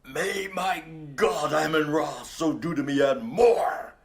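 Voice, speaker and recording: An actor playing a proud, defiant Egyptian pharaoh in an audio drama, declaiming theatrically. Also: male voice, on the high side, takes it slowly, gravelly.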